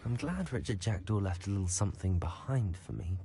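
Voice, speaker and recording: A man's voice: low voice, lively intonation, a little fast, mellow, a little hiss or hum. A man voicing a curious, bold young student wizard in a fantasy video game. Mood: curious musing. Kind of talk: video game voice acting, musing aloud and calling spells.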